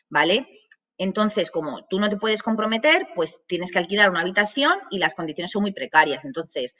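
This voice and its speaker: Chatty woman from Madrid, bright voice. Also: medium pitch. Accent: Madrid Spanish. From Madrid, Spain. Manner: chatty, many fillers and asides. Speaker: language academy director. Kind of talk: online masterclass talk. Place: small office with banners.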